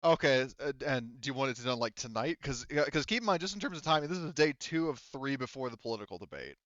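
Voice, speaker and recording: A man's voice: medium pitch, expressive, quick pace, a bit bright, no echo, dull audio. A man acting as game master, narrating and chatting casually and informally, with some swearing.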